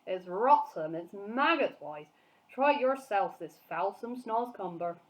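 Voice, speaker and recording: A woman voicing a huge, very old, kindly male giant who talks slowly and muddles his words. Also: medium pitch, lively intonation, natural voice. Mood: earnest. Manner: slow, jumbled giant talk.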